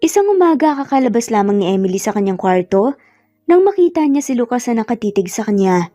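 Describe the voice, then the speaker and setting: A woman's voice: fairly high pitch, very animated, brisk, dark tone, no room sound. A woman with a Filipino accent narrating a scripted romance story. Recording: slightly muffled, music bed underneath. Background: background music.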